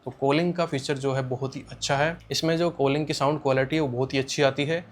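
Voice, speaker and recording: A man's voice: medium pitch, steady tone, quick pace. An Indian man giving a casual spoken product review, explaining things plainly and repeating points for emphasis.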